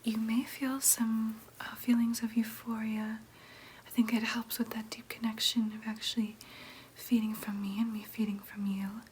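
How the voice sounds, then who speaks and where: A woman playing a gentle, reassuring vampire nurse in an ASMR roleplay, speaking in a soft, breathy whisper with careful, soothing explanations. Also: a little high, level tone, normal speed, a little hiss or hum, lightly acted. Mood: gentle, frank.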